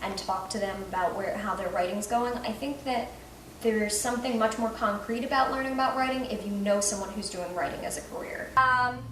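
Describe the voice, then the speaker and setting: A young woman speaks in an informal, conversational way, like an author sharing her thoughts on a visit. She sounds a little way from the microphone, and the room rings around her words. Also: a little high. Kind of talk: casual reflective talk and question-and-answer with schoolchildren. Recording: roomy, distant mic, some noise.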